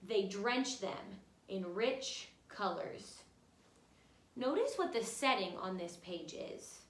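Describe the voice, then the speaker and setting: Expressive woman teacher reading aloud, slow and lilting. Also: mid-range voice. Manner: expressive, instructive, addresses young listeners directly. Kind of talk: children's picture book read-aloud with guiding questions. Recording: roomy, echoey room sound.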